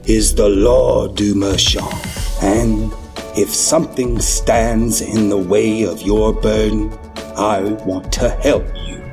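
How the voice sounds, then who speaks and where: A solemn figure making a deliberate offer of help. The voice is gravelly and very slow, with music clearly behind. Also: male voice, lowish voice, fairly even intonation. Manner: deliberate, drawn-out.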